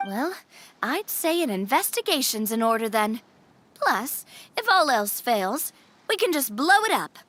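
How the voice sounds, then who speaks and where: A woman voicing an excitable, feisty young girl adventurer in a fantasy game, with expressive, reactive delivery. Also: on the high side, measured pace, exaggerated voice. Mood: confident.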